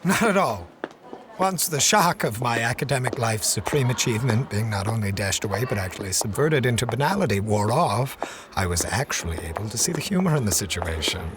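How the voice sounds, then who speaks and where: An actor playing an obsessive, imperious scientist in an audio drama, with a formal, clipped, demanding way of talking. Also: male voice, medium pitch, lively intonation, brisk, noisy background, natural voice. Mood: wry, pondering. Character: obsessive, imperious male research scientist, fussy and impatient.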